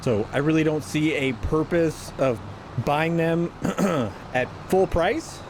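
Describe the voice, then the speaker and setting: A man's voice: on the high side, slow pace, background noise. A man with a stuffy nose, talking through his moves in casual, rambling gameplay commentary and often correcting himself. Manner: rambling, self-correcting, chatty. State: stuffy from a sinus infection. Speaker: gaming channel host.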